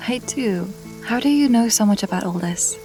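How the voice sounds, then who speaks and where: A woman acting as a young female apprentice mage with a mid-pitched voice, vulnerable and sincere. She talks hesitantly, in fantasy audio drama style, over music and rain. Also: animated, normal speed, mellow, natural voice. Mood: hopeful.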